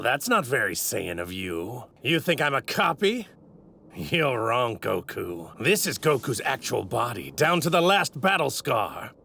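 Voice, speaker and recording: An arrogant god in a warrior's body for an anime dub. His delivery is pompous and theatrical, swinging widely in pitch as he taunts. The voice is forceful and bright, with a gravelly rasp underneath. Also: full character voice. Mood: smug, menacing. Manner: pompous, grandiose, taunting, savoring words. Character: arrogant divine villain in a stolen warrior body. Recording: ripped voice track with clear background noise.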